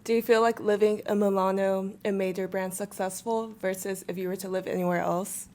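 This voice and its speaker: A woman's voice: medium pitch, fairly even intonation, brisk, a little mellow. A woman interviewer asking short, casual questions and giving friendly affirmations.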